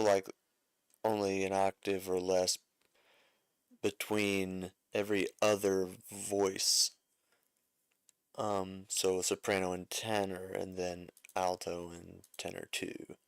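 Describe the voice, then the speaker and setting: A man walks through an arranging lesson at an easy, slow pace, musing aloud and correcting himself as he goes. His voice is low and gravelly yet clear. The sound is close and dry. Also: no echo. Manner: thinks aloud, many fillers and self-corrections. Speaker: music arranger teaching. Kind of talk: casual screen-share music lesson.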